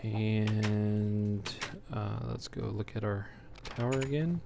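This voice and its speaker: A man's voice: on the low side, steady tone, slow pace. A man giving casual, unscripted gameplay commentary as a let's play host, talking in an easygoing, hesitant ramble. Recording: clearly noisy home recording.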